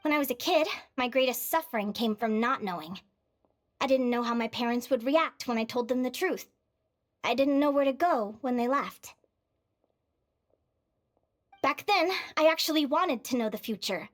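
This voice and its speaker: A woman acting as an upbeat, self-assured young advisor in a video game, speaking in a friendly, expressive, conversational way. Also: on the high side, normal speed, pauses often, natural voice. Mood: reflective.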